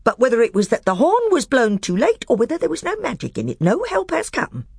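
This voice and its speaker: A man doing a snarling bitter dwarf, high and loud. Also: wildly swooping pitch, brisk, gravelly, slightly rough, no room sound, clean studio sound, full character voice. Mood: angry. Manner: snarling, accusing.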